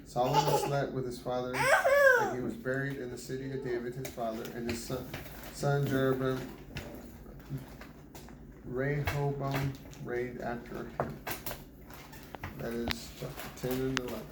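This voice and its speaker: Man reading scripture in a flat voice. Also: mid-range voice, a little slow, pauses often. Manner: stumbles and restarts on hard names. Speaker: Bible reader. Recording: echoey room with background noise.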